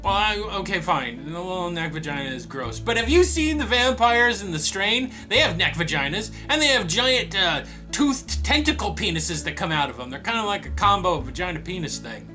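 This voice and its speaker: A man with a high voice hosts a comedy question segment. He answers viewer questions in a deadpan, gloomy ramble. Guitar music plays clearly behind him. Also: normal speed, hardly any pauses. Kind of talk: comedic scripted monologue.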